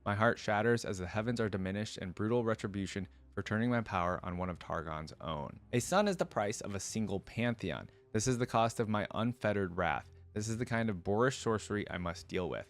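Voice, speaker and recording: A man's voice: on the low side, expressive, a little fast, hardly any pauses. A man voicing a proud, vengeful celestial dragon in grandiose, florid storybook narration. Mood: grieving, bitter. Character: proud, vengeful celestial star-forging dragon held captive.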